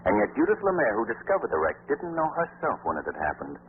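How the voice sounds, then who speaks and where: An actor playing a self-assured amateur sleuth, speaking in a suave, measured, wry 1940s radio style. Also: male voice, mid-range voice, huge pitch swings. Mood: assured, explaining. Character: self-assured adult male amateur sleuth, calmly explaining. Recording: crackly, narrow-band 1940s radio recording.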